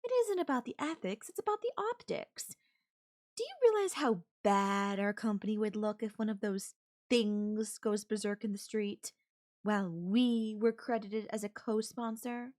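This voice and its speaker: Woman acting as wealthy female CEO, imperious, formal, coldly condescending, controlling, audio roleplay, mid-pitched voice. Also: a little mellow, lightly acted. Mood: curt, irritated.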